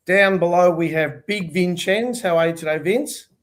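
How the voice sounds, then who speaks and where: A man's voice: high-pitched, normal speed. An older man hosting a casual panel show over a video call, sounding nasal and throaty from a cold, chatty and jokey. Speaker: host.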